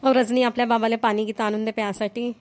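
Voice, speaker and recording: Woman doing a kindly chatty older village mother-in-law, bit high voice, rural maharashtrian marathi accent, cartoon. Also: little pitch movement, fast, lightly acted. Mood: welcoming. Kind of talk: Marathi cartoon family comedy voice-over.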